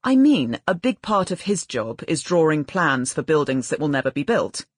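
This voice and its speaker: A woman's voice: low-pitched, very animated, moderate pace, dry recording, studio quality. A woman narrator voicing a blunt, exasperated, argumentative brother in audiobook dialogue.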